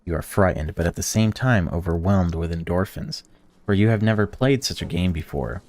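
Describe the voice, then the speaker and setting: A man hosting a gaming channel, reading a visual novel's text aloud while playing and breaking off into excited or indignant reactions. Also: low-pitched, moderate pace, no echo. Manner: reads game text flatly, then blurts excited or indignant reactions.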